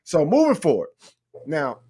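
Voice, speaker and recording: A man's voice: on the high side, wildly swooping pitch, a little slow, warm tone, slightly rough. A man hosting a podcast, asking long conversational questions with casual slang. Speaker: podcast host.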